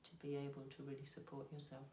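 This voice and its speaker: A trauma healing trainer explaining the work calmly and asking probing questions. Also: mid-range voice, fast, a little hiss or hum. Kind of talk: conversational discussion. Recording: roomy sound from a distant mic.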